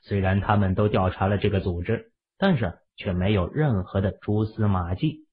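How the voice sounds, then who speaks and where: A man's voice: on the low side, moderate pace, slightly rough. A man narrating a novel expressively as an audiobook reader. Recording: muffled, phone-like narrow sound.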